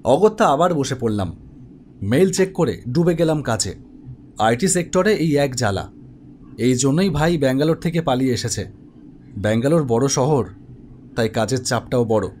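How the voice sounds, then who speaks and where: A man's voice: medium pitch, lively intonation, normal speed, long pauses, darkish tone, slight background noise, lightly acted. A man playing an office web developer who narrates his own ghost story in a dramatic, suspenseful way. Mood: reflective narrating. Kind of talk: scripted first-person horror story narration. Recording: music behind the voice.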